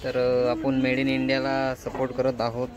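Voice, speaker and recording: A man's voice: medium pitch, completely flat delivery, normal speed, a crowd in the background. A man giving casual, informal vlog commentary, mixing in bits of English and Hindi. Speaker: vlogger host.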